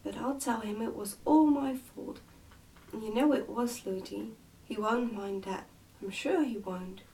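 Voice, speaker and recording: A woman's voice: on the high side, a little slow, dark tone, recorded from a distance, low-quality recording. A woman voicing a trusting, outspoken little princess in a storybook, with an earnest, insistent childlike manner. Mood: earnest, affectionate.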